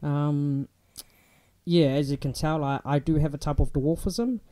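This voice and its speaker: A young man with a New Zealand accent talks through his life in a relaxed, chatty way, often pausing on fillers. His voice sits a little high for a man and sounds soft and mellow rather than bright. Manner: relaxed, chatty, many ums and restarts. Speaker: guest. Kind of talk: casual interview chat.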